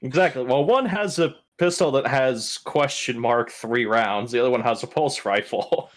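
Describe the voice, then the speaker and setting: A man's voice: medium pitch, moderate pace. A man casually recapping tabletop game events as a player, blunt and sardonic, with plenty of swearing.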